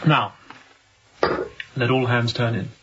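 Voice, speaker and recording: An actor playing a young, introspective and kindly sea captain in a radio drama, speaking in measured, formal phrases. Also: male voice, mid-range voice, steady tone, a bit bright, breathy edge, muffled recording. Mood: brooding, reflective. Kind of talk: radio drama acting with reflective monologue.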